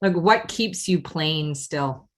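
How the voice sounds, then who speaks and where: A woman's voice: low-pitched, lively intonation, slow pace. A woman hosting a podcast, asking short, friendly questions in a casual interview. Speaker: podcast host.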